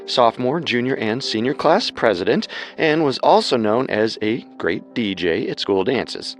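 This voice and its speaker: A man hosting a podcast with scripted true-crime narration. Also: fairly low pitch. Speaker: podcast co-host.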